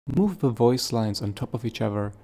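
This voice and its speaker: A man hosting a software tutorial, giving plain step-by-step instructions. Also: medium pitch, expressive, moderate pace. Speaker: tutorial host.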